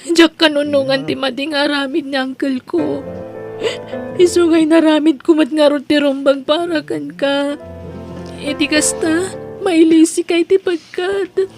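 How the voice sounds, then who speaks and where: A woman's voice: high voice, fairly even intonation, a little slow. An actress playing a devoted, anguished young woman in an Ilocano audio melodrama, speaking earnestly and emotively with an Ilocano accent. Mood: grieving. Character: Edna, devoted young woman, protective, anguished over her uncle. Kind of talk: Ilocano radio-style melodrama acting. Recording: music under the voice.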